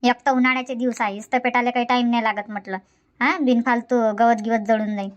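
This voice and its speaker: In a dubbed cartoon comedy, a nagging old village grandmother, voiced by a woman, bosses her husband around. She speaks with a Varhadi Marathi accent and answers back fast. Her voice sits high and light. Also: little pitch movement, highs cut off, close to an everyday voice. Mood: teasing, grumbling. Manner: nagging, quick comebacks.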